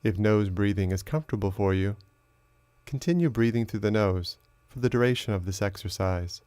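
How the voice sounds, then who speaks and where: Low-voiced man guiding a meditation. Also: a little mellow, clean tone, no echo. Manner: slow, measured, instructional delivery with long pauses. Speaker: meditation teacher. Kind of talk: guided meditation narration.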